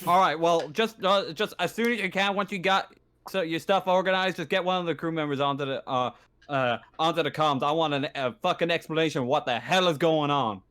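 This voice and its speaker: A man playing an exasperated space station comms operator in a tabletop game, halting and prone to swearing. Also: on the high side, fairly bright tone, smooth, natural voice.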